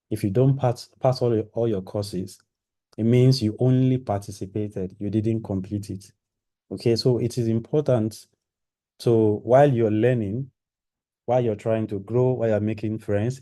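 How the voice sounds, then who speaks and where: A man's voice: on the low side, warm tone. A man giving a live-streamed orientation talk to trainees, rambling and repeating himself with many fillers. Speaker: training program organizer.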